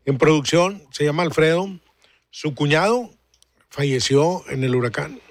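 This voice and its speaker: A man's voice: on the high side, unhurried. A man in his forties hosting a radio show, chatting casually in a friendly way, rambling and repeating himself. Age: 40s. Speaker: radio host.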